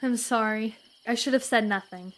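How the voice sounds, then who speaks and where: A woman playing a learned, discreet frontier lady in a western audio comedy, speaking in formal, precise and measured words. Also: a little high, fairly bright tone, lightly acted. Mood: guarded.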